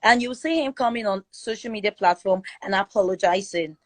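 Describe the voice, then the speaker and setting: A woman with a Nigerian accent giving her opinion forcefully in a live chat room, repeating her points for emphasis. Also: medium pitch, a little fast, dry recording. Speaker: guest speaker in a live audio chat room. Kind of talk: opinionated casual commentary.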